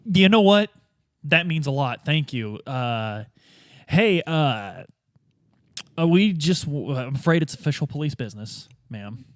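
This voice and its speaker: A man's voice: on the high side, expressive, a little slow, no room sound, studio quality. A man playing a hesitant, well-meaning police detective who fumbles informally through his questions in a tabletop roleplay. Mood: awkward, polite.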